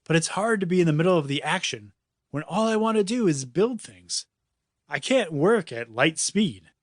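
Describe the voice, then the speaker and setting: A man's voice: on the high side, animated, moderate pace, dry recording, clean studio sound. A man voicing a distracted, apologetic boy inventor in a storybook, earnest and a little hesitant.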